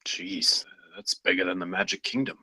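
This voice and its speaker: A man playing a skeptical, blunt colleague in a screenplay table read, with a rough edge to his voice. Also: normal speed, bright, forceful tone, dry recording, rough recording. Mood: taken aback. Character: skeptical, blunt male colleague named John, unimpressed.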